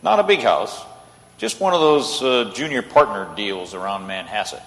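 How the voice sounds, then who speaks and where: A man's voice: on the low side, animated, moderate pace, a bit bright. A man playing an amiable, wry lawyer in an old-time radio play, easygoing in manner. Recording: reverberant hall.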